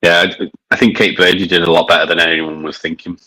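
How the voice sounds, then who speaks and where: A man's voice: deep voice, little pitch movement, brisk, bright, forceful tone, poor audio. A man with a mild northern English accent, chatting casually while reviewing beer, with many fillers and hesitations. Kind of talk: casual chat.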